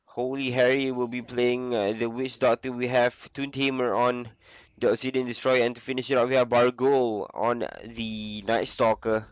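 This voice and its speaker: A young man with the flu, casually commentating a video game on his own, with a lot of filler pauses. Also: mid-range voice, little pitch movement, clear, resonant tone, no echo, lo-fi sound. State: sick with flu. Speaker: esports caster.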